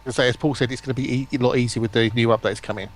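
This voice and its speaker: Male panelist, average pitch, informal livestream conversation. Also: steady tone, racing. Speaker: stream panelist. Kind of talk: casual livestream chat.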